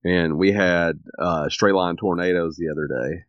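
Very deep, sniffly man chatting. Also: steady tone, normal speed, dry recording, lo-fi sound. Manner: rambling, many ums and uhs. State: sniffly and a bit raspy from allergies. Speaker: podcast co-host. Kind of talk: casual chat.

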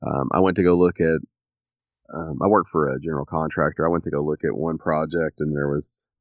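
A man co-hosting a podcast, sniffly and a little raspy from allergies, rambling with many ums. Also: very deep voice, steady tone, brisk, no echo, narrow, tinny audio. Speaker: podcast co-host. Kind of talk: casual chat.

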